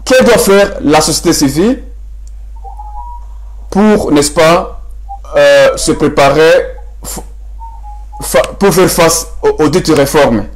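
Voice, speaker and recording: A man with a Congolese French accent hosting a formal interview as a journalist, speaking haltingly and repeating words as he frames his questions. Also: on the high side, faint music behind, poor audio.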